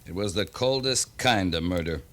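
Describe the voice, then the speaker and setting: A man playing a tough, blunt cavalry captain in 1950s western radio acting, with gruff, terse military delivery. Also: low voice, animated, moderate pace. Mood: bitter. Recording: noisy 1950s radio drama recording.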